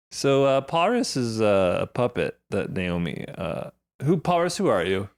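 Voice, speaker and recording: A man's voice: medium pitch, sing-song, slow, long pauses. A man from Canada co-hosting a podcast in casual comedic chat, joking and laughing often. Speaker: podcast co-host.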